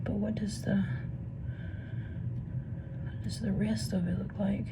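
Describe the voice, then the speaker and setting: Husky-voiced woman murmuring over rocks. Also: medium pitch, little pitch movement, slow. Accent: Missouri. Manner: muses half to herself, often drops to a whisper. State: losing her voice. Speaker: rock tumbling vlogger. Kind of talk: casual off-the-cuff commentary. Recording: noisy room ambience.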